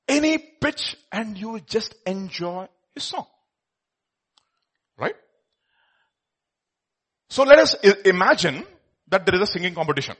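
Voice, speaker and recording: A man preaching a sermon, with emphatic swings from quiet lines to loud exclamations. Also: high voice, breathy edge. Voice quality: says he has a loud voice.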